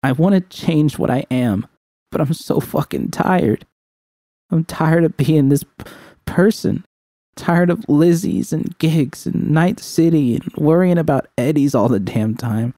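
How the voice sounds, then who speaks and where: A man acts out an exhausted woman full of self-loathing in a dramatic story. The voice is lifted high and dry, stammering and halting as it pleads. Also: mellow, dry recording. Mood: anguished, weary.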